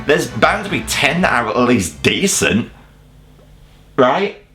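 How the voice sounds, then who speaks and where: A man's voice: mid-range voice, wildly swooping pitch, slow pace, pushed and bright, faint music behind. A British man hosting a video review, delivering scripted comedic countdown narration in a sarcastic, sweary, exaggerated way. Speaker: host of a video review channel.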